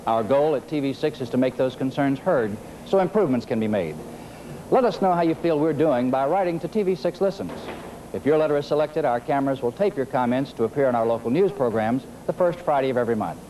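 A man's voice: medium pitch, expressive, quick pace, dark tone, slight background noise, poor audio. A man in his fifties reading a scripted address to viewers as a station manager, outdoors. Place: outdoors in a garden courtyard.

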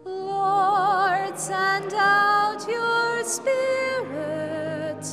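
A woman cantor singing a psalm at a church lectern with slow, sustained liturgical phrasing. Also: extremely high pitch. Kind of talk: sung responsorial psalm. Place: large church, indoors. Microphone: lectern microphone. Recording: long church reverb, loud organ.

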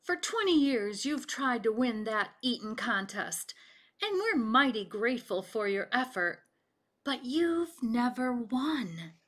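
A woman voicing a calm, stern Old West sheriff in a folksy, deliberate way for a storybook. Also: very high-pitched, exaggerated voice. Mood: persuasive.

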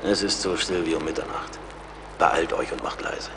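A man acts a seasoned woodsman in an audio drama. His voice is deep and his manner plain-spoken, watchful and wry. It rings with long reverb over clear background noise. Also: moderate pace. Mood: hushed, alert. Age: middle-aged. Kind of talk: German audio drama acting. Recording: reverberant, noisy outdoor-like ambience.

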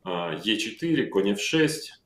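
A middle-aged man talks from a room at home over a video call, his voice lowish and the sound roomy. He goes through chess moves calmly and reflectively, thinking aloud with frequent fillers. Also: measured pace. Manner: calm, reflective, calling out moves with many fillers. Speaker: chess player recalling his own game. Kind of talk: analytical interview talk. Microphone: video call microphone.

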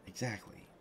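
A man playing a flustered, lovestruck young journalist in an audio roleplay, speaking in halting, hesitant stammers. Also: a bit bright, a little hiss or hum. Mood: tender, resigned. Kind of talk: one-sided audio roleplay acting.

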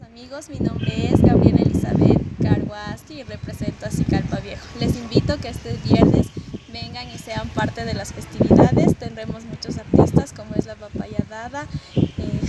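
A woman speaks as a pageant candidate, inviting viewers in a casual way. Her voice sits slightly high. Loud outdoor noise and traffic run behind her. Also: moderate pace. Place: outdoor courtyard.

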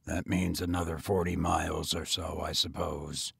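A man plays a gentleman fallen to tramping in a narrated story. His voice sits low and quiet. He speaks grimly and bitterly, with weary resignation. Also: measured pace, slightly rough, no echo, clean studio sound, exaggerated voice. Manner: grim, subdued, resigned.

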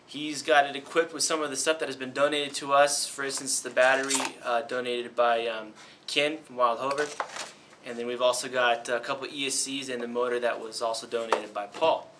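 A young man hosting a casual desk interview as a flying instructor, relaxed and encouraging, with lots of ums and uhs. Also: mid-range voice, brisk, background noise. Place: small indoor studio set at a desk.

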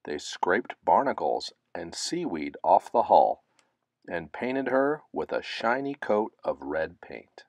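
Slow, expressive man reading a storybook. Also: low voice, gravelly, dry recording, clean studio sound. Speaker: storybook reader. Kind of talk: children's picture book read-aloud.

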